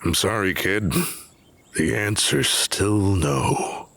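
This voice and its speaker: Gruff older man, very low gravelly voice, slow and mournful, audio drama with music underneath. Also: a bit bright, full character voice. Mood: weary, guarded. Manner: slow, somber, deliberate. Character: grieving older man, gruff, weary haunted-house owner. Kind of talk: scripted audio drama acting.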